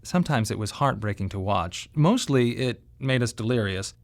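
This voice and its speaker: A medium-pitched male voice carries a first-person radio monologue as a grieving father played by an actor. He is plain-spoken and measured, with a wry, tense edge and deliberate pauses. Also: animated, close to an everyday voice. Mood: reflective. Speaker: narrator and lead of a monodrama.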